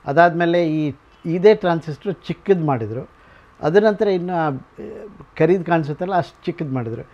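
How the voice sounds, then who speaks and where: A man's voice: on the high side, normal speed, a little mellow, lo-fi sound. A man giving an informal explanatory tour as a museum owner, mixing English phrases into his speech.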